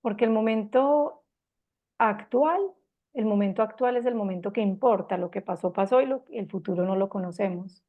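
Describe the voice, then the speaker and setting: A Colombian woman in her fifties with a Medellín accent, gently giving a reflective personal talk with frequent pauses and restarts. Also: mid-range voice, narrow, tinny audio. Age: 50s.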